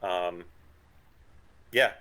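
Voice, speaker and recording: A man's voice: low-pitched, extremely slow, pushed and bright. A man hosting a casual group chat as a script writer on an online call.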